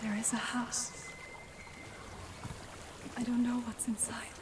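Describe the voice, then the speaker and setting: An actress playing a tormented young warrior woman in a game, hushed, breathy and halting. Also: fairly high pitch, flat delivery. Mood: anguished. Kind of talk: dramatic game acting, intimate and halting. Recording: clear background ambience noise.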